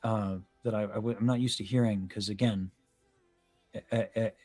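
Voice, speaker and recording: A man's voice: fairly low pitch, steady tone, moderate pace, darkish tone, faint music behind. A young man hosting a casual chat, explaining vocal technique in an analytical, detailed way. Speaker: host, vocal coach. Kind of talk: casual video-call chat about singing.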